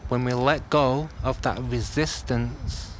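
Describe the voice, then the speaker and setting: A man teaching a guided meditation, speaking gently in short phrases with long pauses. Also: medium pitch, steady tone. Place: outdoors. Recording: outdoor class with clear background noise.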